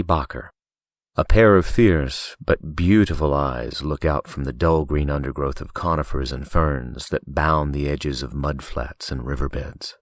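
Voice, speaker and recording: A man's voice: rumbling low, dry recording. A man reading scripted audiobook narration as a professional narrator, close on a studio mic.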